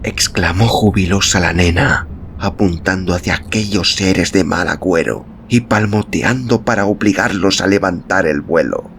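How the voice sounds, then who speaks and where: A man narrating a literary story as an audiobook, in a measured, dramatic, expressive storytelling manner. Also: sits low, creaky, a little hiss or hum. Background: music.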